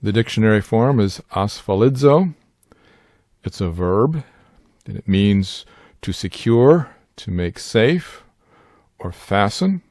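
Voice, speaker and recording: An older man, narrating a careful scripted Bible-study lesson, slowing down to stress key phrases. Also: fairly low pitch, animated, a little mellow, no room sound, clean studio sound. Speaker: Bible teacher and sign language interpreter.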